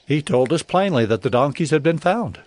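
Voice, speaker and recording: A man's voice: a little high, animated, a little fast, a little mellow, dry recording, natural voice. A man playing an earnest young man of ancient Israel in a dramatized scripture story, speaking plainly.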